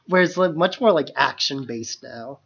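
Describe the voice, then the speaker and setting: A man's voice: mid-range voice, expressive, normal speed, creaky, a bit bright, breathy edge, muffled recording. A man co-hosting a podcast in casual, conversational talk about films. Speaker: podcast co-host. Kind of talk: casual film discussion.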